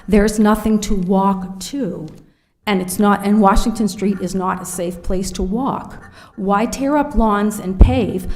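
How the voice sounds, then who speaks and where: A woman resident giving a prepared public comment at a city planning meeting, asking pointed questions in a measured, critical way. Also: mid-range voice, creaky, dark tone. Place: city council chambers.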